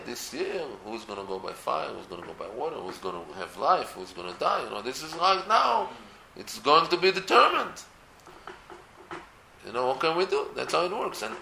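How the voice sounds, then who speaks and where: Israeli guy talking torah casually, gravelly bright voice, swings up and down a lot, echoey room. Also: medium pitch, slight background noise. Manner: animated, many restarts, rising to emphatic peaks. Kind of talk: casual religious talk.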